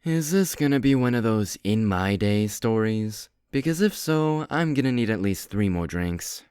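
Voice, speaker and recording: A man plays a sly young vampire who mocks his rival in a playful way. His smooth, resonant voice swings widely in pitch, and it sounds dry and close to the mic. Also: expressive, moderate pace, darkish tone, dry recording, close to an everyday voice. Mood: teasing. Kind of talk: amateur roleplay voice acting.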